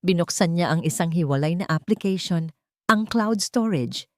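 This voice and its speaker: A woman narrating a scripted dramatic story in measured, suspenseful phrasing. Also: on the low side, expressive, no echo, clean studio sound.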